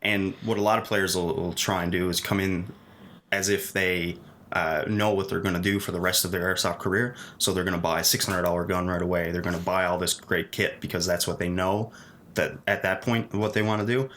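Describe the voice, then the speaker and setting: Man, low voice, podcast guest, matter-of-fact, faint noise behind. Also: flat delivery, a little fast, fairly bright tone. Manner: matter-of-fact, gives practical advice. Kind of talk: casual chat. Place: studio.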